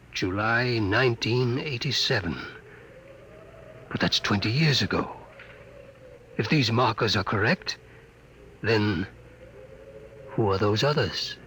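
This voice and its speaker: Sombre spaceship crewman, lowish pitch, very slow, deliberate, astonished, 1950s radio drama. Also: pushed and bright. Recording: vintage radio recording, faint noise.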